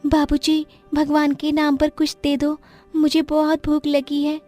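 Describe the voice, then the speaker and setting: A woman's voice: high voice, completely flat delivery, unhurried, darkish tone, voice pushed up. A woman voicing a pitiful twelve-year-old orphan beggar girl in a cartoon story, plaintive and pleading. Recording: music behind the voice.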